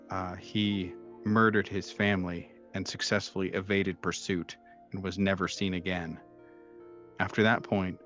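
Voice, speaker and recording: A man acting as game master, narrating a tabletop horror mystery in a halting, hesitant way. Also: low voice. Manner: halting, hesitant delivery with frequent long hesitations. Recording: dull lo-fi sound, music behind. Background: background music.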